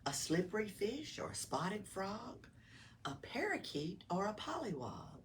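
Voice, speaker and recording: Slow, animated woman reading to children. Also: medium pitch. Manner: dramatic emphasis, sometimes hushed. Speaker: library storytime reader. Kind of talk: expressive children's read-aloud. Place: small town library. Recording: distant mic with room noise.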